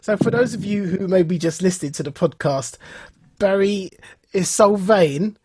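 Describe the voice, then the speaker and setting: A man co-hosting a podcast in casual banter, amused, teasing and quick to mock himself. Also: high voice, darkish tone, slightly rough, no room sound. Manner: amused, teasing, self-mocking. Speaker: podcast co-host.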